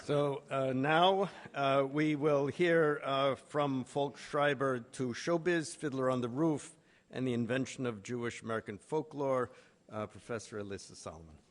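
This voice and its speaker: A man's voice: mid-range voice, unhurried. Male session chair in echoing hall. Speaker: session chair introducing a speaker. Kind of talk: formal event introduction. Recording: echoing hall with faint background noise.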